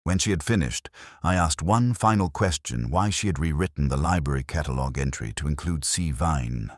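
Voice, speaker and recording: Very deep-voiced man narrating a detective story. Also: expressive, normal speed, dry recording, clean studio sound. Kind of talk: first-person scripted detective drama narration.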